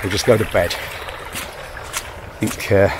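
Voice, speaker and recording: A man's voice: fairly low pitch, steady tone. An older man chatting casually to a handheld camera while hiking outdoors, rambling and self-mocking. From England. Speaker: hiking vlogger. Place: outdoors by a rushing river in woodland. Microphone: camera held at arm's length. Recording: loud rushing river noise behind. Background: roaring river water.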